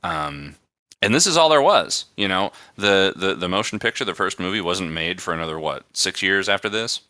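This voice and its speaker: Male podcast host, low-pitched, very forceful and bright, smooth resonant tone, casual rambling chat. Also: lively intonation, moderate pace, no echo, clean studio sound. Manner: rambling, conversational.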